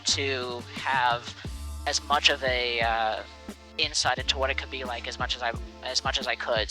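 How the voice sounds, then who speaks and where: Young man with a very bright, forceful voice. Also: mid-range voice, poor audio. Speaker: interview guest, air traffic controller. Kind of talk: casual conversational interview. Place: small aircraft cockpit in flight.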